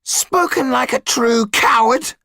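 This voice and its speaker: A man voicing a blunt, sharp-tongued, knowing conspirator in a storybook, with a high, strained, raspy, creaky voice. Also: slow, no echo, studio quality, exaggerated voice. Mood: scornful.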